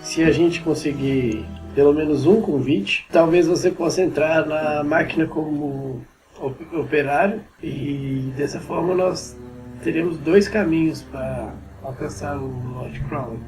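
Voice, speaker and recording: A man's voice: on the high side, unhurried, darkish tone, rough recording. A Brazilian man chatting casually as a player in a tabletop role-playing game. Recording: background music under the voice. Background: clear music.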